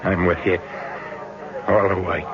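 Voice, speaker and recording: An actor playing a wronged, hesitant businessman drawn into revenge in a 1970s radio drama, terse and measured. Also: sits low, level tone. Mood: grimly resolved. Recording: muffled vintage radio broadcast with music.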